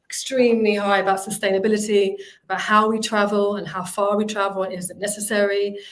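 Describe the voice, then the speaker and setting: A woman's voice: mid-range voice, little pitch movement, fairly quick. A woman, presenting her recruitment company on a video call, talking freely with many fillers and restarts.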